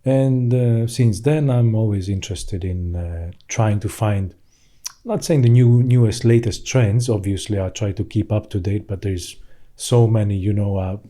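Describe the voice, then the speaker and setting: A man's voice: lowish voice, measured pace, mellow. A man in his thirties with a Hungarian accent, telling his story conversationally as a podcast guest in a studio. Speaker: podcast guest, financial services professional.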